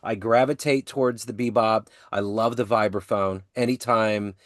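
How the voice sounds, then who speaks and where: A man's voice: on the low side, normal speed, no room sound, clean studio sound. A man hosting an interview on a video call, a longtime jazz radio presenter who talks in an easygoing, enthusiastic way with jazz slang. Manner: relaxed, enthusiastic jazz talk with slang like "cats" and "dig". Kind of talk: casual conversational interview.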